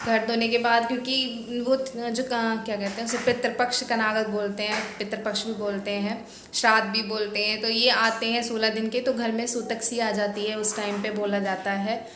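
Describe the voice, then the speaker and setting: A woman with a hoarse voice, chatting through her home chores as a vlog voice-over and explaining each step. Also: on the high side, level tone, moderate pace, a bit bright, a little hiss or hum. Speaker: vlogger.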